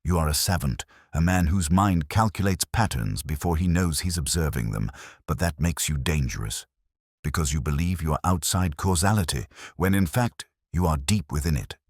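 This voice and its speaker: A man voicing a sharp, quietly commanding male detective with a precise, probing manner, in an audiobook mystery story. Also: extremely low pitch, moderate pace, dry recording, studio quality.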